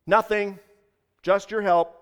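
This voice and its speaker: A pleading, demanding man hangs from a rope in a told parable , acted out by a male storyteller. His voice is pitched high and he calls out loudly and insistently, pressing for help. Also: fairly even intonation, slow pace, smooth. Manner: loud, insistent calling out. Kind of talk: dramatized storytelling.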